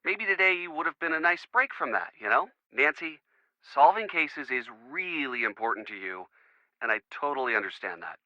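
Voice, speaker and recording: An easygoing young American boyfriend in game phone dialogue. His voice is very bright and forward, with playful sarcasm. The sound is close and dry, though dulled like a lo-fi call. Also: fairly high pitch, expressive, moderate pace, no echo. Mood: wistful, hurt. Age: young adult.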